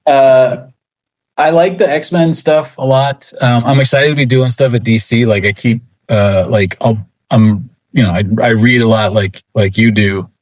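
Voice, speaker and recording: A man's voice: medium pitch, a little slow, no echo. A man speaking casually as an interview guest, rambling and self-deprecating. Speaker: interview guest, comic book writer.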